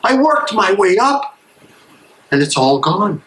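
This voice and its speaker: An actor playing an anguished, disillusioned German soldier and prison guard, emotional and sarcastic, in an echoey play reading. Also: male voice, high-pitched, very animated, slow pace, a bit bright, heavily acted. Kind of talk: dramatic reading of a stage play. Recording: echoey room, live reading.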